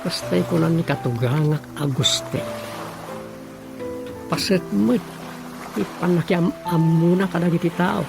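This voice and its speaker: A man playing a talkative married village councilman in an Ilocano radio drama comedy, thinking aloud and explaining with many exclamations in a gravelly voice. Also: a little high, measured pace, pauses often, over music. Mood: musing to himself.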